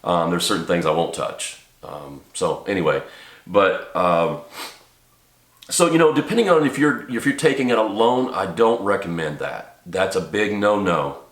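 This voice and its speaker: A man with a very low voice talks to camera, a little stuffed up from a cold. He rambles earnestly, with lots of ums and restarts. The room around him is echoey. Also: normal speed. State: congested from a cold. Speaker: channel host and small business owner. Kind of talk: casual talk to camera. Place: home office.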